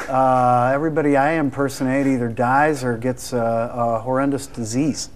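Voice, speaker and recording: Self-deprecating man, medium pitch. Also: steady tone, moderate pace. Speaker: comedy performer, impressionist. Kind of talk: casual interview.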